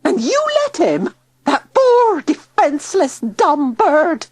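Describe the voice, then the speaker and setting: A piercing, very high old woman who shrieks her questions and scolds everyone. The voice swoops up in sharp, loud cries. It is recorded close and dry for a storybook reading. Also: very animated, slow, dry recording, heavily acted. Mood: shrill scolding. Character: shrill, screeching old woman keeper.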